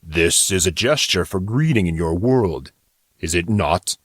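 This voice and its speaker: A man voicing a wild, ferocious villain in an audiobook, with a cold, low, mocking and sadistic menace. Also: animated, a little slow, fairly bright tone, husky, no room sound, clean studio sound, exaggerated voice. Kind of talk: audiobook character acting.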